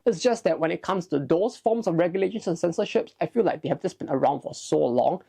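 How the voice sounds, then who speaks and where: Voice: medium pitch, lively intonation, fast, creaky, over music. A video essay host narrating in an informal, explanatory way, with conversational asides and hedged remarks.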